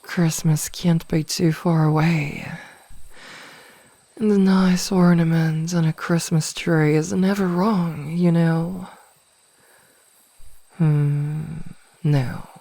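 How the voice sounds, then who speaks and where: A woman's voice: low voice, steady tone, takes it slowly, long pauses, dark tone, no echo, full character voice. A woman playing a possessive, teasing mage in an intimate ASMR fantasy roleplay, speaking in a coaxing, condescendingly sweet way and often slipping into whispers, close to the mic. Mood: teasing, doting. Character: possessive, smugly teasing adult female mage toying with a captive burglar. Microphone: close microphone, dry.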